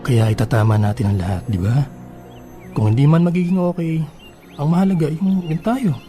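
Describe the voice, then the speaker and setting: A man playing a devoted, reassuring boyfriend in a radio drama, coaxing gently with a Filipino accent. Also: a little high, moderate pace, over music, close to an everyday voice. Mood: tender, reassuring.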